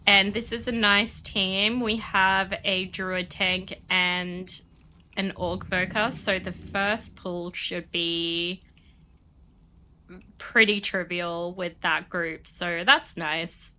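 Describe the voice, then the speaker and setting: A woman's voice: lowish voice, steady tone, slow pace, sharp tone, slight background noise, rough recording. A woman streaming a game and chatting casually, sniffly from a cold, rambling and laughing often. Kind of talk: casual chat while playing.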